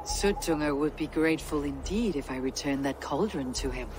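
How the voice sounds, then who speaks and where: A woman voicing a defiant, cunning Norse god in a fantasy video game, grave and measured. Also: low-pitched, noisy background, close to an everyday voice. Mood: wry, calculating.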